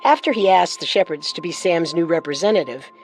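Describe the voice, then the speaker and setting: A woman's voice: lowish voice, lively intonation, a little fast, faint music behind, rough recording. A woman co-hosting a podcast, reading scripted true-crime narration with dramatic storytelling and wry touches.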